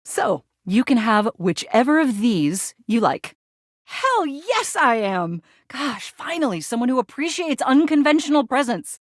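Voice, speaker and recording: Casting: chipper, easygoing game date, higher-pitched, very expressive, dry studio sound. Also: a little slow, no room sound. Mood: playful, friendly. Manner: breezy, informal, expressive. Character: upbeat, chatty, easygoing video game date companion. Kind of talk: video game character dialogue.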